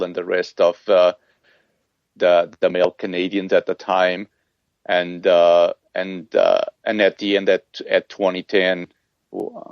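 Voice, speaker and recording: A man's voice: sits low, gravelly, no room sound, highs cut off. A middle-aged man from Portugal, a triathlon coach, talking casually in an interview with many fillers and restarts. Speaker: triathlon coach, podcast guest.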